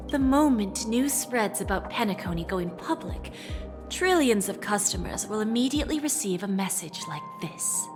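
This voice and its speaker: Game role: actress as shrewd female negotiator, composed, menacing under polish, medium register, clear background score. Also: medium pitch, close to an everyday voice. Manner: composed, assured, deliberate phrasing. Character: cool, shrewd female corporate negotiator, calmly threatening. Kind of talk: dramatic video game voice acting.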